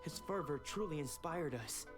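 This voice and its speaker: An actor playing an earnest, protective young sword disciple in a video game, speaking formally. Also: male voice, fairly high pitch, lively intonation, normal speed, music in the background, lightly acted. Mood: apologetic, earnest. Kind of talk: video game dialogue acting.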